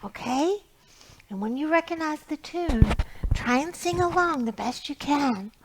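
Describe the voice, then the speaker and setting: Slow-paced, higher-voiced woman hosting children's storytime. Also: lively intonation, noisy background. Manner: simple, encouraging explanations addressed to young children. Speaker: library storytime host. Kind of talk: children's storytime presentation with read-aloud and singing.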